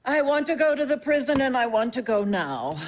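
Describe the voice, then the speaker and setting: An older woman with a high, highly expressive voice. She is an imperious, worldly mother who hands down blunt maxims with unsentimental command, in theatrical audio drama style. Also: fairly quick. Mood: demanding. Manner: commanding, aphoristic, brutally frank.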